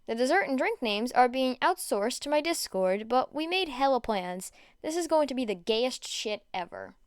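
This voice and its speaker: Woman narrator, high pitch, smooth clear voice, expressive storytelling, dry recording. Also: normal speed, no echo. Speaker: fan fiction audiobook narrator.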